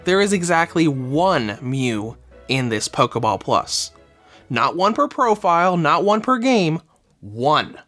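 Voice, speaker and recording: A man's voice: fairly high pitch, big pitch swings, slow, fairly bright tone, soft background music. A man narrating a scripted review of a game controller, a little under the weather, speaking with enthusiasm.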